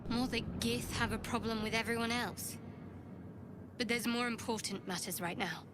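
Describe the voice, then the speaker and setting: A woman's voice: on the high side, fairly even intonation, normal speed, lightly acted. An actress playing a wary young female cleric in a video game, guarded, dry and practical. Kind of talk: video game cutscene acting. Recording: loud background noise.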